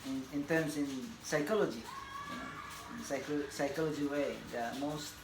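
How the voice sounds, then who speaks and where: A Filipino man in his forties, a tennis coach whose first language is Bisaya, introducing himself in a halting, casual way with many fillers and laughs. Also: fairly high pitch. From Philippines. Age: 40s. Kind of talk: casual self-introduction to camera. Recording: echoey room, audible background noise.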